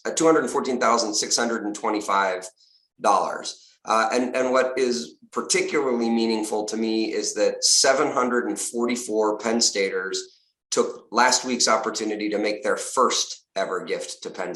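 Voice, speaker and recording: A man with a slightly hoarse, lowish voice speaks earnestly and upbeat, dropping frequent "uh" fillers as he thanks donors at a virtual event. His video call audio carries a noticeable roomy echo. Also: fairly bright tone. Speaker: university fundraising executive. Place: home or office on a video call. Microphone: video call mic.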